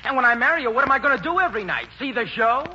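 An earnest but touchy young beau in an old radio play. His inflection is lively, on a muffled, noisy recording. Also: very high voice, very fast, full character voice. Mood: puzzled, sarcastic. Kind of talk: 1940s radio acting.

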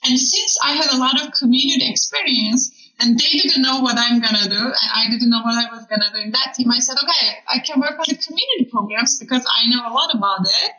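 A woman with a Turkish accent speaks in a high, bright voice. She is a podcast guest recounting her experience conversationally. The sound is roomy and somewhat dull. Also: fast, few pauses. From Turkey. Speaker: podcast guest, community program manager. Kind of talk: casual interview storytelling.

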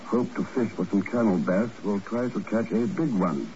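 An actor playing a shrewd secret agent with a dry, understated manner, in 1950s radio drama style. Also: male voice, fairly low pitch, moderate pace, warm tone, close to an everyday voice. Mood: wry, skeptical. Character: shrewd, wry secret agent following his hunches. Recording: muffled, noisy old radio recording.

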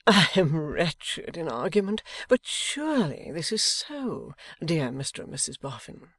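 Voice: medium pitch, huge pitch swings, darkish tone, husky, dry recording, clean studio sound, pitched above the performer's own voice, full character voice. A storybook reader voicing an airy society wife who is falsely innocent, archly playful and coquettish. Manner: coquettish, wheedling, drawn-out delivery.

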